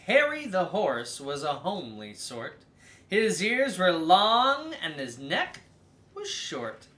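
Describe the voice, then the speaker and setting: A person reading a children's story aloud as host, in a theatrical, drawn-out way with emphatic stresses. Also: mid-range voice, huge pitch swings, fairly bright tone, roomy sound.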